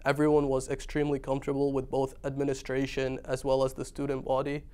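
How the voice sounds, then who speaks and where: Smooth, even-toned young man. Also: mid-range voice, fast. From Iraq. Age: young adult. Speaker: student guest. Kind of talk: conversational interview.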